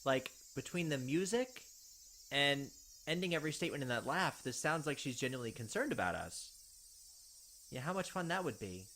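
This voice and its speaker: A man's voice: on the high side, lively intonation, moderate pace, slight background noise. A man hosting a let's play, reading the game's narration aloud and adding wry comments in between. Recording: game audio with loud cricket ambience.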